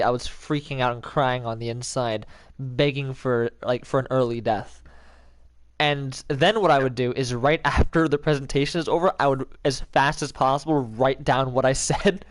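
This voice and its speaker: A man's voice: mid-range voice, moderate pace, dry recording. A young man streamer chatting casually in a rambling, jokey way with lots of fillers. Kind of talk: casual chat.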